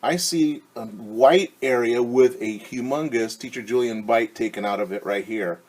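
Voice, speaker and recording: A man teaching young children, reading a picture book aloud and gently asking them questions. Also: medium pitch, moderate pace. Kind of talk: children's story time read-aloud.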